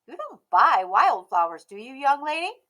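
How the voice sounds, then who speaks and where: A woman voicing a matter-of-fact male garden store clerk in a storybook, brisk and instructive. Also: high-pitched, big pitch swings, bright, forceful tone, voice pushed up, heavily acted.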